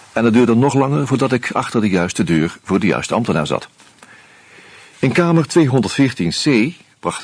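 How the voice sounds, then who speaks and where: Low, breathy male radio narrator. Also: a little mellow, no echo. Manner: measured, reflective. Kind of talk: first-person radio drama narration.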